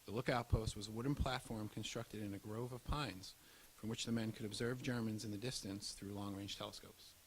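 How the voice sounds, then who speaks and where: A man's voice: lowish voice, steady tone, fairly quick, rough recording. A man delivering scripted historical narration in the manner of a documentary. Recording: audible background hiss.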